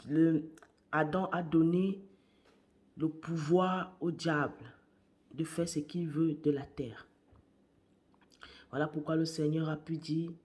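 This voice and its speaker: A woman preaching a morning sermon while she has a cold. Her voice is worn, and she speaks deliberately, repeating key phrases and sometimes dropping to a hush. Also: deep voice. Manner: slow and deliberate, repeats phrases, hushed at times.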